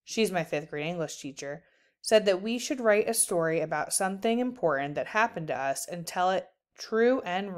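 A woman's voice: lowish voice, clean tone. A woman narrating a children's book aloud as a storyteller.

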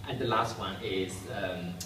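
A man's voice: sits low, fairly even intonation, normal speed. A young man interviewing a guest from a sheet of questions, polite and tentative, sitting in a school corridor. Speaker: student interviewer. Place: school corridor with plastic chairs. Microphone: far camera. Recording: echoey hallway from far off, noisy.

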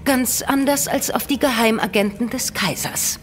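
An actress playing a gracious, resolute empress in a German audio drama, speaking in a formal, measured, courtly way. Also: female voice, on the high side, lightly acted. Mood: earnest, confiding. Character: gracious, resolute empress, secretly plotting her royal cousin's rescue.

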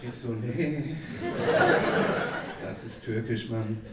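A man in his thirties, a native Turkish speaker, reading a comic poem with deadpan delivery on a theatre stage at a stand mic. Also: medium pitch, slow. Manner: deadpan satirical delivery, chatty asides with fillers. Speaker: poetry slam performer. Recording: echoey hall from a distance, audible noise. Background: audience laughter.

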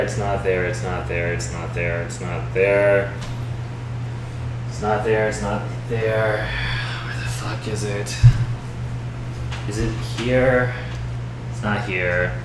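A man's voice: fairly low pitch, fairly even intonation, slow pace, long pauses. A man talking casually to himself as he hosts a live stream from a home kitchen, rambling and swearing often. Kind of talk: casual live cooking commentary, thinking aloud. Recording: roomy, distant mic with loud noise, clicks.

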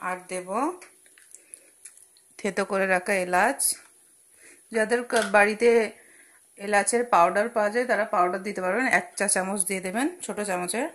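A woman's voice: mid-range voice, normal speed, pauses often, fairly bright tone. Young woman, home cook host, chatty step-by-step talk, sizzling pan behind, phone-recorded kitchen. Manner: chatty, explains each step with fillers and asides. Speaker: cooking channel host. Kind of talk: casual cooking demonstration voice-over. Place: home kitchen at a gas stove. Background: frying and sizzling from the pan.

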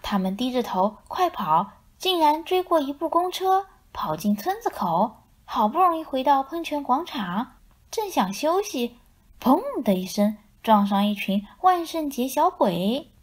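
一位女性用富有表现力的方式给孩子朗读绘本故事。. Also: female voice, sits high, very animated, unhurried. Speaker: storyteller. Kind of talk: expressive children's picture book narration.

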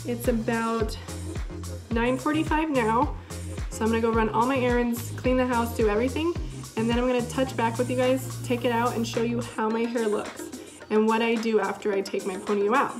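A woman's voice: a little high, fairly even intonation, normal speed. A young woman giving a casual, informal demonstration in a chatty way, recorded indoors in a living room. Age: young adult. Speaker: product presenter. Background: music in the background.

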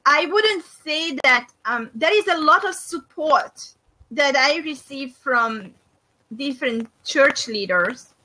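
A middle-aged woman from Hungary with a Hungarian accent, hosting a faith and business video call and speaking thoughtfully with many pauses and fillers. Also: sits high, expressive, pushed and bright, low-quality recording. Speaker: host of a faith and business discussion. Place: small room indoors. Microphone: webcam on a video call.

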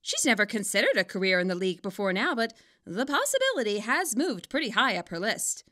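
A woman narrating a scripted story in an expressive, wryly dramatic way. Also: medium pitch, fairly quick. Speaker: author narrating own fan fiction.

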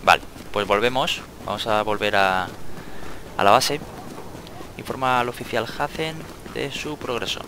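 A man's voice: mid-range voice, unhurried, noisy background. A man with an accent from Spain, giving casual gameplay commentary as a channel host.

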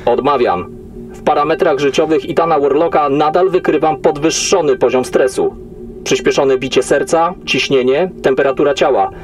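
A man's voice: on the high side, moderate pace, faint music behind, natural voice. Man acting as cold, obedient military AI. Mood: impassive. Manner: formal, clipped, emotionless reporting. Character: cold, obedient male military AI computer assistant. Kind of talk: sci-fi audio drama acting.

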